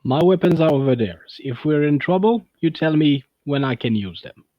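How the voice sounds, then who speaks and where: A man's voice: fairly high pitch, animated, moderate pace, no echo, highs cut off, natural voice. A man voicing an earnest Russian soldier in a tabletop game, speaking clipped, broken English with a put-on Russian accent.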